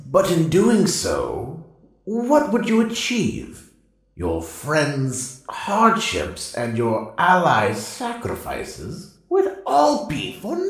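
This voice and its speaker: An actor voicing a stern, solemn mystical spirit in an audio drama, speaking deliberately and lecturing. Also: medium pitch, exaggerated voice. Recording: heavily echoing voice.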